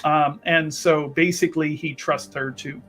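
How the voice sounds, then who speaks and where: Higher-voiced man narrating a tabletop game. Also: steady tone, a little slow, over music.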